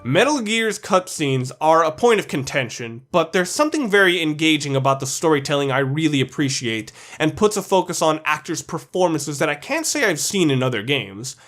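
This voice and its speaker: A man's voice: on the high side, animated, normal speed, few pauses, a bit bright, faint music behind. A man narrating an opinionated video essay as its host, in an informal way with some swearing.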